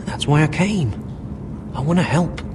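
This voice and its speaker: A man's voice: on the high side, lively intonation, measured pace, background noise. Frank, loyal young watchman. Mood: earnest. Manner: frank, plain-spoken. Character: loyal, plain-spoken young male watchman, would-be deserter. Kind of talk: dramatic video game acting. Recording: music behind the voice.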